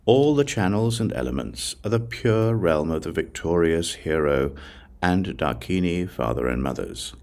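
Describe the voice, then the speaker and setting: A man with a low voice and a gravelly edge narrates a scriptural audiobook. His delivery is solemn and deliberate, set out in measured phrases, with wide swings of intonation from one phrase to the next. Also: a little mellow.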